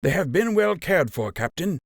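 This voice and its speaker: A man voicing an older gray male rabbit in an audiobook, proud and formal, with a measured, cutting wit. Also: fairly high pitch, very animated, vocal fry, slightly rough, no echo, clean studio sound, pitched above the performer's own voice, exaggerated voice. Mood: cool mockery.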